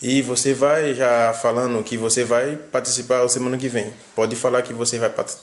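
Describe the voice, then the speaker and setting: Flat-voiced man in an echoey room. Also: mid-range voice, normal speed.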